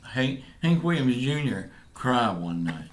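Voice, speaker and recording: A man's voice: mid-range voice, very animated, a little hiss or hum. A man with a Southern US accent, casually answering viewer questions as a vlog co-host, easygoing and a bit rambling. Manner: easygoing, rambling, halting with fillers. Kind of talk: casual chat.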